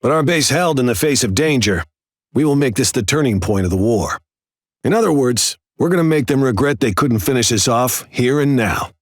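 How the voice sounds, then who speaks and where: An actor playing a stern, composed air force commander, giving a formal, measured mission briefing in a video game with an authoritative delivery. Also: male voice, medium pitch, no room sound, studio quality, close to an everyday voice. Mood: grave, resolute. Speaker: military briefing officer.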